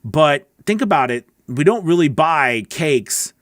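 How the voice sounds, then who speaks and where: A man's voice: fairly high pitch, lively intonation, measured pace, a bit bright, no room sound. Middle-aged man coaching, dry studio sound. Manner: conversational, asks rhetorical questions, checks in with "okay?" and "right?". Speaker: host, voice-over coach. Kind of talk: casual direct-to-camera coaching talk. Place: small home recording studio. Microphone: large studio microphone on a boom arm, close.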